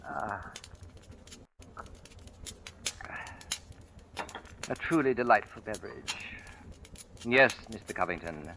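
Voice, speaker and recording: A man playing a shrewd, mocking, sinister accuser in a vintage radio drama, speaking in a suave, deliberate, taunting way. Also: fairly low pitch. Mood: smug taunting. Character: shrewd, mocking male accuser, secretly a sinister returned spirit. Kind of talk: 1940s-50s radio drama acting. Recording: noisy, crackly vintage radio recording.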